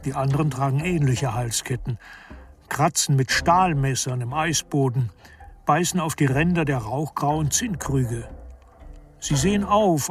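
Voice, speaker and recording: A man narrating a literary radio drama in a composed, descriptive storytelling manner. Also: a little high.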